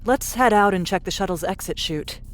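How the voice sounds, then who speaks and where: A woman's voice: mid-range voice, moderate pace, lightly acted. A woman playing a tall, confident, pragmatic young adventurer in a dramatised science fiction audiobook, speaking in a matter-of-fact, dryly assured way. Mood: wry, calm.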